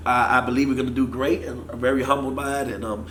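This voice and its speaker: A man in his thirties from Miami, a football coach talking in a casual interview, animated. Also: mid-range voice, fast talker. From Miami, Florida. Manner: animated, gestures while talking. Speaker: football offensive coordinator. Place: studio set with sponsor backdrop. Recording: echoey room with faint noise.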